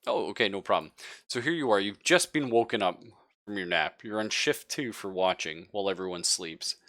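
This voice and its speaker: A man's voice: lowish voice, expressive, a little fast, a bit bright. A man narrating a tabletop roleplaying game as game master, casual and joking as he improvises.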